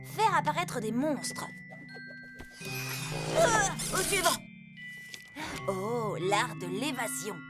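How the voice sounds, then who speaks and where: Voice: mid-range voice, animated, takes it slowly, long pauses. A woman voicing a cheeky, overconfident young boy in a cartoon, eager and grandiloquent. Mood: musing. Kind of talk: animated cartoon dubbing. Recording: music under the voice.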